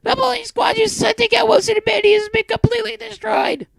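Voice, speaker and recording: A man voicing a squeaky, obnoxious female robot underling in a shrill, squealing voice. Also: flat delivery, brisk, creaky, a bit bright, no room sound, exaggerated voice. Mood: alarmed.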